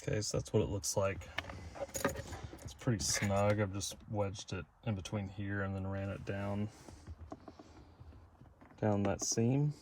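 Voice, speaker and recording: A man casually talking through a hands-on install, halting and thinking out loud as he works. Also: on the low side, steady tone. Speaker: DIY vehicle install presenter. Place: in and around a parked pickup truck. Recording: noisy vehicle sound with clicks.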